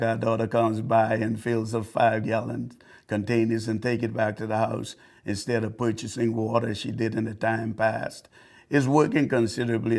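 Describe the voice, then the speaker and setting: Older man from the Bahamas, low gravelly voice, flat and earnest, halting testimonial read. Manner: earnest, halting, with repeated words and restarts. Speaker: customer giving a testimonial. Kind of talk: unscripted testimonial.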